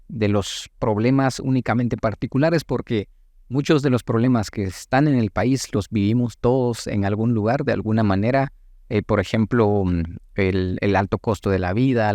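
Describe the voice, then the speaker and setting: A Guatemalan man in his late thirties giving thoughtful, halting answers as a politician being interviewed, with formal wording. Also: fairly low pitch, a little mellow, no echo, clean studio sound. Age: late 30s. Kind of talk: reflective interview talk.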